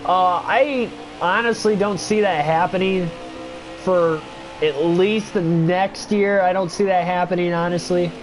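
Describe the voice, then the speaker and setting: A man's voice: high voice, fairly even intonation, a little slow, music in the background, poor audio. A young man with a stuffy nose, casually chatting with viewers and commenting on a racing game during a livestream, rambling with many fillers. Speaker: gaming livestreamer.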